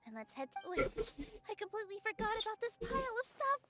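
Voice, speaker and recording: A woman playing a gentle, earnest and modest young dancer in a video game, with a polite manner. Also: very high-pitched, big pitch swings, fast talker, over loud music. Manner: polite, soft-hearted.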